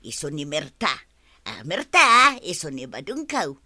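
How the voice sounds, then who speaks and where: A woman playing an excitable, hospitable wife in an Ilocano radio comedy-drama, exclamatory and drawn-out, with an Ilocano accent. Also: low voice, no room sound, studio quality, full character voice. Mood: delighted, welcoming. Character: excitable, hospitable wife greeting an old best friend.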